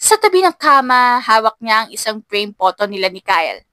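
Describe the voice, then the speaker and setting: A woman's voice: fairly high pitch, sing-song, moderate pace, pushed and bright, dry recording. A woman narrating a scripted audiobook story in an emotive, dramatic manner.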